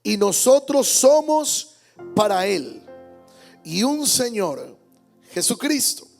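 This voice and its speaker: A man's voice: high voice, sing-song, slow, creaky, faint music behind. A male pastor preaching a Sunday sermon in church, emphatic, repeating key words and calling out amens. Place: church service.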